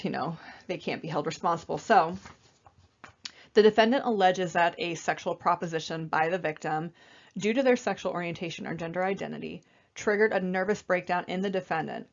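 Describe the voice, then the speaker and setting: A young woman with a slightly raspy voice while getting over an illness, giving a casual, conversational slideshow presentation with many fillers. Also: fairly low pitch, muffled recording. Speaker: student presenter.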